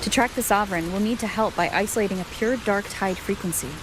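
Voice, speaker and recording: An actress playing a composed, thoughtful young woman adventurer in a video game, calm and earnest. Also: medium pitch, fast talker, noisy background, lightly acted. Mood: explanatory.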